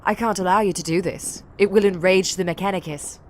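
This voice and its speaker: Over faint noise, a formal young woman officer, put on by a male reader speaks high and clipped. She sounds uneasy, a stiff adjutant in a science fiction war drama. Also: steady tone, brisk, natural voice. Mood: alarmed, protesting. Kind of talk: dramatic audiobook acting.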